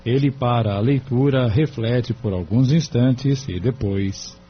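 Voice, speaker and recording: A man's voice: medium pitch, unhurried, breathy edge. A man reading scripted narration for an audio drama. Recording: dull recording with faint background noise.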